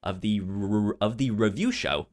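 Stuttering man with lively, swinging pitch. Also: slight background noise. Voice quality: frequent stutter, repeated sounds and syllables. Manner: informal, halting delivery. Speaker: podcast co-host. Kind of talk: casual film review chat.

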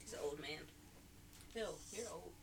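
A woman's voice: low-pitched, moderate pace, vocal fry, heavy background noise. A woman in her twenties co-hosting a casual chat podcast with friends, curious and teasing, laughing often. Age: 20s. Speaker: podcast co-host.

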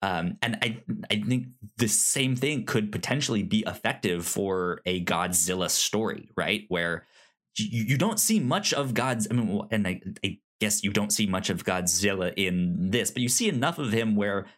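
Guy cohosting a film podcast, stammery and halting, voice jumps around in pitch a lot. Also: animated, a bit bright. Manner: halting, stammering, repeating words and syllables as he goes. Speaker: podcast co-host. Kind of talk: casual film discussion chat.

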